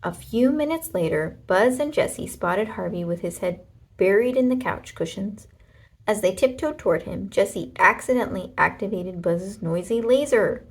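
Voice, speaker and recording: A woman narrating a children's storybook aloud in an expressive way, with deliberate dramatic emphasis. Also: mid-range voice, husky.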